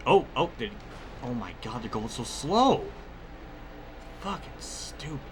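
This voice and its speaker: A man's voice: mid-range voice, sing-song, slow pace, background noise. A man giving casual, rambling gameplay commentary mixed with personal storytelling, conversational and free with swearing. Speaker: gaming video creator.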